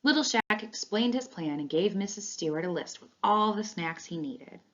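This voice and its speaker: A woman reading a children's storybook aloud in an expressive, animated way. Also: mid-range voice, moderate pace. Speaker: read-along reader. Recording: dull, lo-fi sound.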